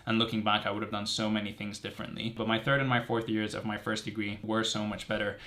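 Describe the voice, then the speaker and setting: A young man with a fairly low voice talks casually, as if straight to his viewers. He is from Greece and works through his points earnestly. He leans on key words and often repeats them for stress. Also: monotone, fast talker, pushed and bright. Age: 20s. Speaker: medical student vlogger. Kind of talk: casual direct-to-camera chat, answering assumptions.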